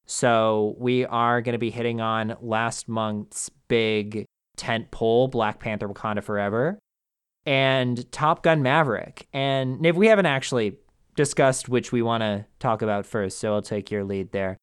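A man's voice: mid-range voice, fairly even intonation, measured pace, clean tone. A man co-hosting a film podcast, chatting casually and animatedly with frequent fillers and restarts. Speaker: podcast co-host.

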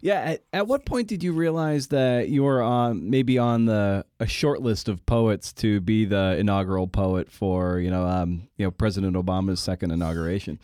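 A man with a lowish voice interviews someone in a casual podcast style. He assembles his questions piece by piece, thinking aloud. The recording is dry, without audible room. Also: normal speed, hardly any pauses, mellow, no echo.

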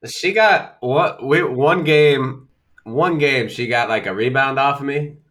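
Bright-voiced joking middle-aged man. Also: mid-range voice, slow. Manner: joking, teasing banter. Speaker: podcast co-host. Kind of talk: casual chat.

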